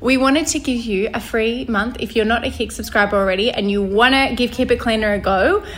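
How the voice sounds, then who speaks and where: A woman's voice: on the high side, a little fast, fairly bright tone, poor audio. A young woman co-hosting a podcast in casual chat, talking chattily, laughing often and restarting her sentences. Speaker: podcast co-host.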